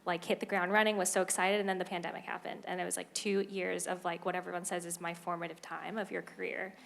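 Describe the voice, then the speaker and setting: A woman's voice: on the low side, quick pace, gravelly. Chatty young woman, lots of "like". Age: 20s. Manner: chatty, filler-heavy, animated. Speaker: Broadway cast member. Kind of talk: panel talkback.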